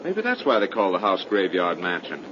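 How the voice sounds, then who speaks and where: A muffled, hissy 1930s radio recording carries a sceptical young man in a horror play. His theatrical, commanding delivery swings widely in pitch, with every line pushed for emphasis. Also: huge pitch swings, moderate pace. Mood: weary, dismissive.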